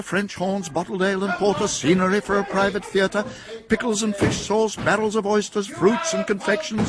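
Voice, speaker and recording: A man narrating a radio drama in a dry, wry storytelling manner. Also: high-pitched.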